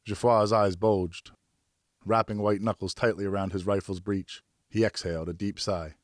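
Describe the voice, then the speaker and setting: Low-pitched male audiobook narrator. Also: fairly even intonation, normal speed, dry recording, studio quality. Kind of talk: dramatic scripted narration.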